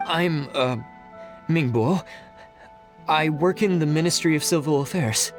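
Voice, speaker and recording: A man's voice: on the high side, a little slow, natural voice. A man playing a timid, awkward, meticulous civil servant in a video game, hesitant and pedantically precise. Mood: nervous. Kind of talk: video game character acting. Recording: game music playing faintly behind.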